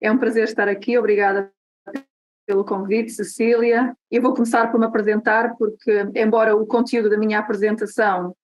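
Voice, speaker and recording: A woman's voice: mid-range voice, flat delivery, brisk, poor audio. A woman from Portugal with a European Portuguese accent, presenting her work at an online conference over a video call, friendly and explanatory with frequent hesitations. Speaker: guest speaker.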